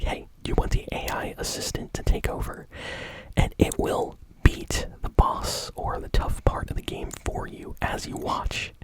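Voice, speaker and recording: A person whispering casual, conversational gaming news in a soft, breathy ASMR style. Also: poor audio.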